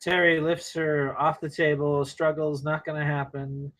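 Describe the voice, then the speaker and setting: Slightly high-voiced man narrating a screenplay. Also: little pitch movement, unhurried, a bit bright, rough recording. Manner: terse, present-tense delivery. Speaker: narrator reading stage directions. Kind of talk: screenplay table read narration.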